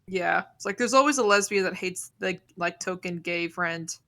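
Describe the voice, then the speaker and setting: A woman's voice: fairly low pitch, normal speed, sharp tone, no echo, clean studio sound. A woman chatting and joking as a co-streamer during a game stream. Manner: giggly, joking. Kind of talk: casual chat while gaming.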